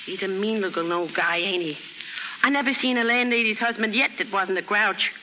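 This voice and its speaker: A woman's voice: mid-range voice, brisk, lightly acted. A woman acting a naive, streetwise, poor young American chorus girl in slangy, ungrammatical speech on a 1930s radio drama. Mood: suspicious, frank. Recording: muffled, very noisy, reverberant 1930s radio recording.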